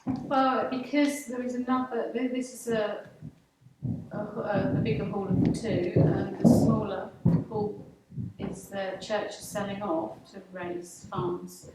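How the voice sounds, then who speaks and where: A middle-aged woman with an average-pitched voice chats casually, reminiscing as a local would. She is recorded in a very echoey room, away from the mic, with faint noise. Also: fairly even intonation, unhurried, far from the mic. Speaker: local interviewee and pantomime participant.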